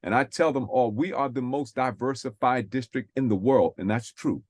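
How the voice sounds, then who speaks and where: A man talks earnestly in a preacherly way and leans on repeated phrases. His mid-pitched voice sounds dry and close, with no room around it. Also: normal speed, dry recording. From New York City. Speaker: radio show host. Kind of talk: conversational faith talk.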